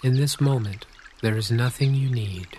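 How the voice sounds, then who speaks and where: A man narrating a guided meditation, calm and unhurried, speaking in short scripted phrases with long pauses. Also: mid-range voice. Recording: clear nature-sound noise under voice. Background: birdsong and pouring water.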